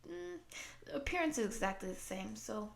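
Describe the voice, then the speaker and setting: A woman's voice: a little high, expressive, moderate pace, vocal fry. A woman voicing a goofy, cocky shapeshifting slime girl with a playful, casual manner in an audio roleplay. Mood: playful, boastful. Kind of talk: intimate roleplay addressed to the listener.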